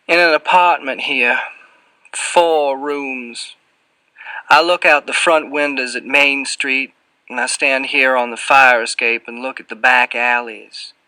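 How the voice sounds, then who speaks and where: A man voicing a huge, sly, taunting fat man with a slow, insinuating Southern drawl in an audiobook. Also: mid-range voice, steady tone, sharp tone, clean tone, no room sound, pitched above the performer's own voice, poor audio. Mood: lingering, sly. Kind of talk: audiobook character voice.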